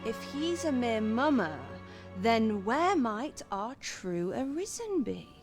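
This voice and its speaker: A woman's voice: fairly high pitch, big pitch swings, takes it slowly, soft background music, exaggerated voice. The coy mistress of a brothel in a fantasy game, haughty and flirtatious, her voice swooping playfully as she toys with a visitor. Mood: stern, conspiratorial. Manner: teasing, haughty, archaic formal phrasing. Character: sly, flirtatious lady who runs a pleasure house. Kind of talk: video game voice acting.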